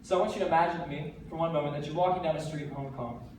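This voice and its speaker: A teenage American man speaking on stage, earnest and energetic, his voice sitting a little high. He sounds distant from the microphone, with heavy echo filling a large hall. A faint hiss of noise sits underneath. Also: racing. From United States. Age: teens. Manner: earnest, energetic, with light self-deprecating jokes. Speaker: TEDx speaker. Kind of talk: stage talk to a live audience.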